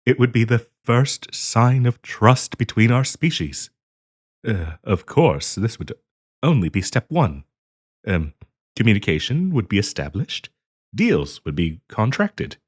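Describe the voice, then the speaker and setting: Slow, halting half-dragon diplomat, acted by a man. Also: lowish voice, very animated, slightly rough, heavily acted. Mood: gentle, reassuring. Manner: hesitant, polite, halting with frequent ums and soft chuckles. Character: courteous, earnest half-dragon diplomat and trade broker. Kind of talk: roleplay audio acting, addressing the listener.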